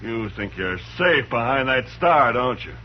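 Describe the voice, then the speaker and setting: A man's voice: medium pitch, animated, unhurried. An actor playing a hot-headed frontier man out for revenge, confrontational and accusing, in a 1950s radio drama. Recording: muffled old radio broadcast, faint hiss.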